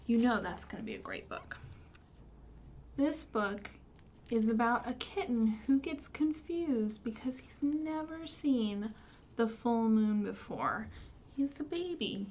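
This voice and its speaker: Lady doing kids storytime, high voice, takes it slow, bit of noise behind her. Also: darkish tone. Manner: addresses young children with simple questions and prompts. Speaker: librarian hosting a children's storytime. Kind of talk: children's storytime with rhymes and book talk.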